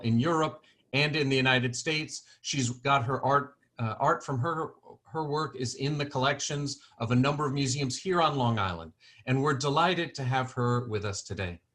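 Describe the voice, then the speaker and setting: A man's voice: mid-range voice, moderate pace, fairly bright tone. A man hosting an online museum program who speaks in an earnest way, often restarting his questions. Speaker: host. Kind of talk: formal welcome and interview. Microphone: video call.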